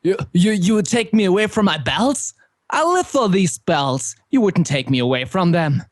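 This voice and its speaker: A man's voice: high-pitched, big pitch swings, moderate pace, dry recording, studio quality. An actor playing a deranged, gloating village postman and bell-ringer in an old-time radio drama, rustic and melodramatic. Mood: defiant, desperate.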